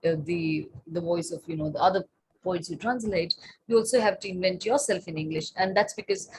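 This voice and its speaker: A woman's voice: fairly low pitch, fairly quick, a little mellow, a little hiss or hum, rough recording. A woman in her late thirties, a Tamil native speaker, answering on a panel as a writer and translator, thoughtful, with many fillers and restarts.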